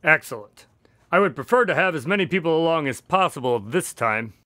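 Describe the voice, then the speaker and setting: A man performs an earnest doctor sidekick for a radio drama. His voice is rather high and bright, in a very dry recording. He sounds questioning, a little fussy and uneasy. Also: animated, fairly quick, no room sound.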